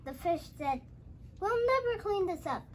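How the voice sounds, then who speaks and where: A child host retelling a storybook aloud, halting and repeating phrases, with exclaimed dialogue. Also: very high-pitched, expressive, slight background noise.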